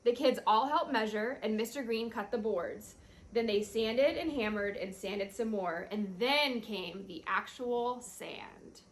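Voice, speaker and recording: A woman reading a children's picture book aloud in an expressive storytelling style. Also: fairly high pitch, a little slow, poor audio.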